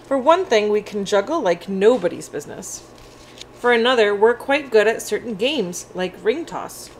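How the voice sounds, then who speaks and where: A quirky little octopus-unicorn, read aloud by a woman with very swoopy, animated pitch. The storybook creature is friendly and a touch lonely, and there is clear room noise behind. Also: moderate pace, natural voice, poor audio. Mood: cheerful, boastful. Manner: animated, childlike storybook delivery. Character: friendly, quirky, slightly lonely young octopus-unicorn picture-book character.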